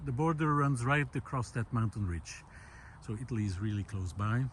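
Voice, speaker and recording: A man speaks thoughtfully as he walks along an outdoor forest path, his voice sitting at an ordinary middle pitch. He hesitates often, filling gaps with uhs and circling back on his words. A faint outdoor hush runs underneath. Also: expressive, normal speed, a little hiss or hum. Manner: thoughtful, hesitant, many fillers and restarts. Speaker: vlog host. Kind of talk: reflective walking monologue.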